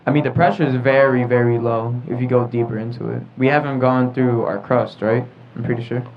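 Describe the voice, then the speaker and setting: A man's voice: mid-range voice, steady tone, brisk, slight background noise. A young American man leading a casual group discussion as a podcast host. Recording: muffled audio with group chatter behind.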